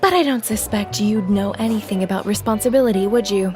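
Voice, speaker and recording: A woman's voice: medium pitch, fairly quick, a little mellow, soft background music, close to an everyday voice. Woman acting a commanding, sardonic deputy mayor. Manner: crisp, authoritative, sardonic. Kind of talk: audio drama acting.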